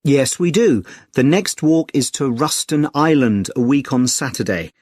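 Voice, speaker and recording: A man with a British accent playing a friendly, helpful club secretary in a clearly enunciated scripted listening-test conversation, polite and explanatory. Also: mid-range voice, lively intonation, unhurried, a little mellow, no room sound, clean studio sound. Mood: helpful, informative. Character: friendly, helpful male secretary of a conservation group. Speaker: actor in a listening test dialogue.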